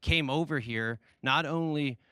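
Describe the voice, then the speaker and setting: A man's voice: on the high side, unhurried, pushed and bright, smooth, no room sound, clean studio sound. A man arguing his point on a discussion panel, rambling and hesitant. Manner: rambling, hesitant, many fillers and restarts. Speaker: panel debate guest.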